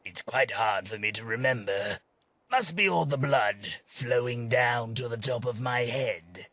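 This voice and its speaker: An actor playing a fussy, polite gentleman tax collector in a video game, with a wordy, formal and genteel manner. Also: mid-range voice, unhurried, no room sound. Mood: dry, put-upon. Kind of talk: video game character dialogue.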